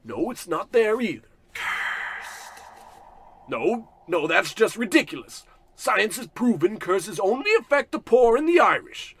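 A man's voice: sits high, sing-song, unhurried, slightly rough, exaggerated voice. A man playing a pompous, cantankerous, scornful rich businessman in a comedic old-time radio play. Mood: flustered. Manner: pompous, grumbling to himself.

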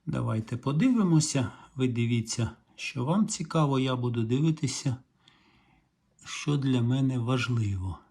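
A middle-aged Ukrainian man in his home workshop, explaining a repair in a calm, musing way with small hesitations, recorded on the camera mic. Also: medium pitch, normal speed. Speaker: repair vlogger. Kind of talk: hands-on repair walkthrough.

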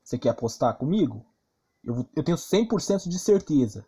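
A man's voice: on the high side, big pitch swings, a little fast, dark tone, slight background noise. A man with a Brazilian accent giving informal game commentary, arguing insistently and repeating himself with plenty of slang. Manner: argumentative, insistent, repetitive, slangy. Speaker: gaming YouTuber.

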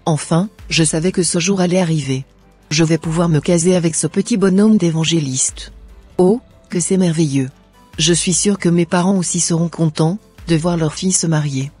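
An actress playing an eager, compliant young bride-to-be in a cartoon drama, with agreeable, formal replies. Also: female voice, fairly low pitch, normal speed, darkish tone, husky, faint music behind. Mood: delighted.

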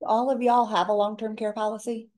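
A woman presenting an informal seminar in a casual, chatty way, her voice raspy from a cold. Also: a little high, little pitch movement, brisk, a little mellow. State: tail end of a cold. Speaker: presenter, elder care coordinator.

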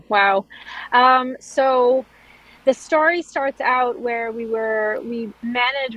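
A woman's voice: fairly high pitch, poor audio. A woman in her thirties from Israel, a startup founder telling her story in an interview over a video call, fluent with frequent ums and restarts. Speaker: startup founder and CEO, guest.

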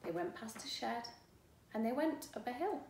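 A middle-aged woman reading a children's book aloud in an expressive, deliberate, rhythmic way. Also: on the high side, distant mic. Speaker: storyteller. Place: living room at home. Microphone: camera a few feet away. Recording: echoey room, faint background noise.

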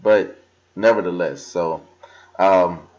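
A young man with a very low, deep voice talks loosely at his desk, full of ums and restarts. The sound comes through a slightly dull computer microphone. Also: slow, a little mellow. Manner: casual, filler-heavy, talks while scrolling and reading aloud. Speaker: crypto vlogger. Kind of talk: casual screen-recorded walkthrough. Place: indoors at a desk.

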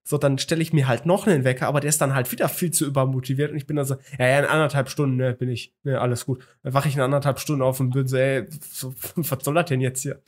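A man's voice: medium pitch, fairly even intonation, fast, few pauses. A young man in his twenties co-hosting a casual podcast chat, telling a story with many fillers and restarts, hungover. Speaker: podcast co-host.

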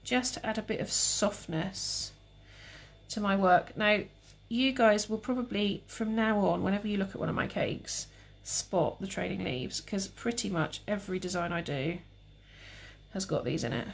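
A young woman with a calm, relaxed voice, demonstrating cake painting live and chatting with viewers. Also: medium pitch, moderate pace, vocal fry. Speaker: cake decorating tutor.

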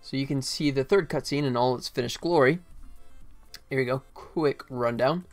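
A man's voice: medium pitch, moderate pace, soft background music. A middle-aged man chatting casually and wryly on a livestream. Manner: easygoing, wry, self-deprecating. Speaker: game developer livestreamer. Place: indoor desk, livestream webcam setup.

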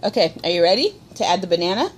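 A woman's voice: lowish voice, very animated, racing, slight background noise. Patient woman guiding a small child. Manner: patient, encouraging, instructing step by step. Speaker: parent cooking with her child. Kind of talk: casual home cooking video. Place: indoor home room at a table.

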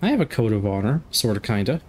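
A man's voice: on the low side, fast, a little hiss or hum. Chatty stuffed-up young man. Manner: chatty, jokey, excitable outbursts during fights. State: stuffed up from a cold. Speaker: gameplay commentator. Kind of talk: casual let's play commentary. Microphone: desk microphone.

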